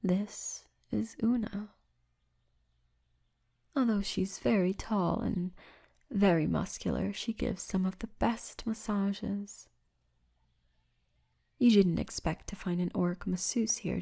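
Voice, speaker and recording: A woman's voice: medium pitch, vocal fry, mellow, slightly rough, heavily acted. A woman playing a poised, seductive orc tour guide in an ASMR fantasy roleplay, teasing and often half-whispering. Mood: inviting, teasing.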